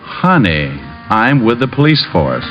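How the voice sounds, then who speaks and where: An actor playing a smooth, needling private detective in a 1940s radio drama, questioning briskly and pointedly. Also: male voice, lowish voice, sing-song. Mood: teasing, coaxing. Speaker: lead actor. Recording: dull old radio recording with music.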